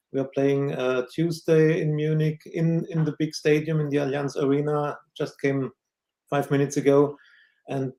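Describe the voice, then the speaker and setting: Flat-voiced man, hesitant regular guest. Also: medium pitch. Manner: hesitant, many "uh" and repeated words. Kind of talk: casual football chat.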